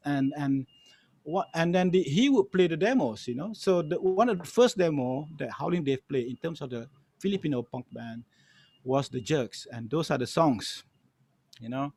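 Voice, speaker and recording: A man's voice: fairly high pitch, moderate pace, gravelly, darkish tone, no echo. A middle-aged man with a Malaysian accent, telling anecdotes casually and emphatically, repeating phrases and often saying "you know". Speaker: guest storyteller and music archivist. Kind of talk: casual anecdotal storytelling on a panel.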